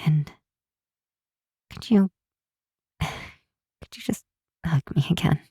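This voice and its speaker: A woman's voice: low voice, gravelly, dark tone, slightly rough, no echo, clean studio sound, full character voice. A woman playing a cynical, sardonic but secretly affectionate grinch girl in an ASMR roleplay, drawing out words for emphasis. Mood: soft, vulnerable. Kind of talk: ASMR roleplay, scripted acting to the listener.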